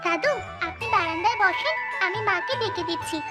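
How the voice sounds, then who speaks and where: A woman's voice: extremely high pitch, animated, brisk, music in the background. A woman voicing a caring, eager little village girl in a children's cartoon, earnest and coaxing. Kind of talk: Bengali children's cartoon voice acting.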